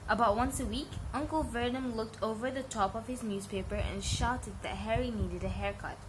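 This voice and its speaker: A woman's voice: on the high side, moderate pace, noisy background. A woman narrating a children's bedtime story as an amateur reader.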